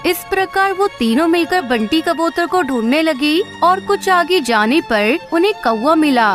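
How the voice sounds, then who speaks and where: A woman's voice: sits high, expressive, a little slow, soft background music. A woman giving scripted narration for a children's cartoon story.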